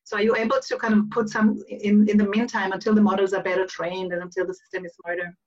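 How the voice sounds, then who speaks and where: A woman whose first language is Hebrew, interviewing a guest in a halting way with many restarts and fillers. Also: mid-range voice, level tone. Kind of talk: online interview chat. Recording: distant, dull lo-fi audio.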